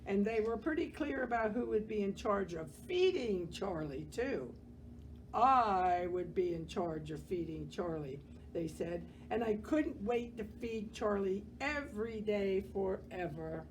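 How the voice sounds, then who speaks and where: A woman reading a children's picture book aloud as a library storyteller, gentle and expressive, pausing to comment on the pictures. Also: mid-range voice, a little hiss or hum.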